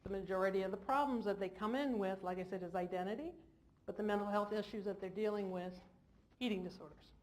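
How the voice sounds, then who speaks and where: A woman's voice: mid-range voice, fast talker. A woman clinician giving an informal lecture in a large hall, with jokey, self-deprecating asides. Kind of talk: informal lecture to a live audience.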